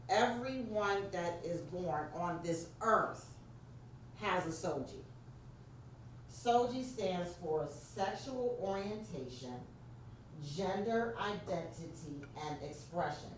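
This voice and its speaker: A woman with a New York accent and a deep voice, presenting an interactive workshop and prompting her audience directly. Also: lively intonation, slow pace. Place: church meeting room. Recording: very echoey room, distant mic, faint noise.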